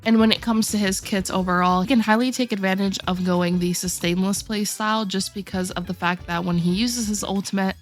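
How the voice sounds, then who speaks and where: A woman's voice: medium pitch, fairly quick, few pauses, soft background music. Woman with a sore throat explaining game rankings. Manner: steady explanatory walkthrough, addressing viewers directly. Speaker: gaming video host. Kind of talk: game tier list commentary.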